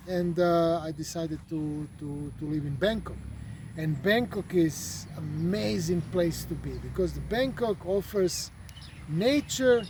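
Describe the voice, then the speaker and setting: Man with a high, mellow voice. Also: slight background noise. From Croatia. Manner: hesitant, many fillers and repeated words. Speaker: traveler. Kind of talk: casual travel talk. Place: outdoors at a golf resort.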